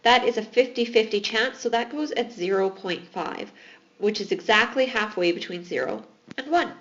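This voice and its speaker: A woman's voice: on the high side, moderate pace, a bit bright, lo-fi sound. A woman teaching math in a recorded lesson, explaining patiently while losing her voice. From Alberta, Canada.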